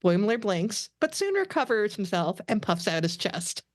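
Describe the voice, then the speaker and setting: A woman's voice: medium pitch, very animated, moderate pace, no room sound, studio quality. A woman reading stage directions as scripted narration for a table read.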